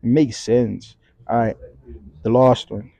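A man's voice: mid-range voice, fairly even intonation, slow, gravelly, warm tone, dry recording. A young man in his twenties with a South African accent, co-hosting a podcast in slangy, joking banter. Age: 20s. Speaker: podcast co-host. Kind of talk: casual chat.

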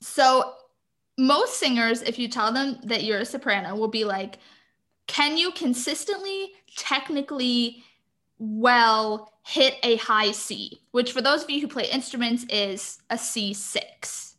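Bright-voiced woman explaining singing. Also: a little high, measured pace. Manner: explains singing terms, laughs often. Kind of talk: casual chat.

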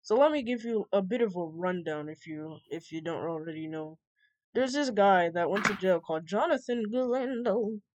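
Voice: mid-range voice, lively intonation, normal speed, highs cut off, noisy background. A podcast host from the United States ranting loosely about the news, rambling and laughing between lines. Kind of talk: casual podcast chat.

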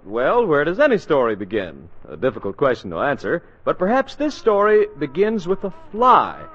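Animated high-pitched male 1940s radio narrator. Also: moderate pace. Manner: theatrical, wry. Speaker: announcer and narrator. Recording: muffled 1940s radio broadcast.

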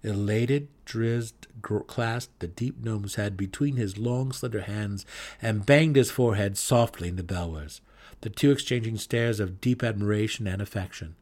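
Low-pitched man narrating a fantasy story. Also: normal speed, a little mellow. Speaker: audiobook narrator. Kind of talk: dramatic scripted story narration.

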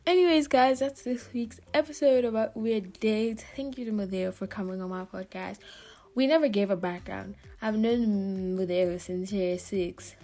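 A woman's voice: medium pitch, moderate pace, few pauses, dark tone, faint music behind. A young woman with a Kenyan accent, hosting a podcast and wrapping up in a rambling, giggly, casual way. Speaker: podcast host.

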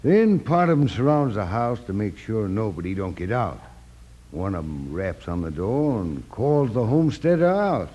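Radio western homesteader: grim, folksy drawl, dark tone, lively delivery, muffled vintage recording. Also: male voice, fairly low pitch, moderate pace, breathy edge. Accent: American Western drawl. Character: plainspoken, grim frontier homesteader leader. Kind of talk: old-time radio western acting. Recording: dull, lo-fi old radio recording.

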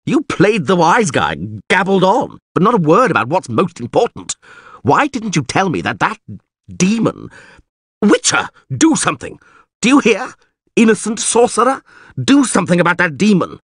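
A hot-tempered middle-aged mayor, voiced by a male narrator, blustering and bellowing at everyone in reach. His husky voice swings from low grumbling up to strained shouts. The sound is dry and close. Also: wildly swooping pitch, unhurried, no room sound, heavily acted. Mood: furious.

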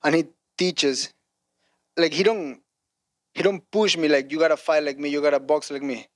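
Male fighter, halting speech with loose grammar, higher voice, dry recording. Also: fairly even intonation, dry recording. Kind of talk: interview clip.

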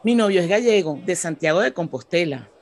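A woman's voice: lowish voice, lively intonation, a little fast, a little mellow, a faint crowd. A woman playing a polite, kindly and obliging schoolteacher in a radio drama.

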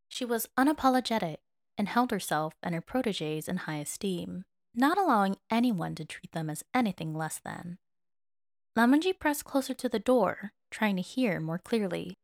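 A woman's voice: mid-range voice, animated, moderate pace, no echo, clean studio sound. A woman narrating fan fiction as a dramatic audiobook.

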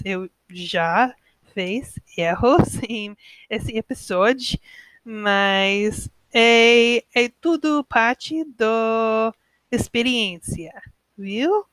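Slow hesitant young American woman. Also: on the high side, smooth, no room sound. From United States. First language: English. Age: 20s. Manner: hesitant learner, frequent pauses and self-corrections. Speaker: podcast host. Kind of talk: casual podcast monologue.

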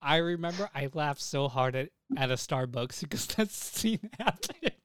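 A man's voice: fairly high pitch, expressive, moderate pace, a bit bright, clear, resonant tone, no room sound, studio quality. A man chatting casually as a podcast co-host, emphatic and sweary, telling things with lots of appeals to the listener. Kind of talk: casual chat, profane.

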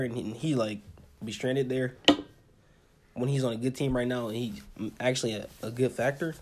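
A young American man with a husky voice, co-hosting a casual sports chat in an informal, halting way and often repeating himself. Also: medium pitch, a little mellow. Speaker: podcast co-host.

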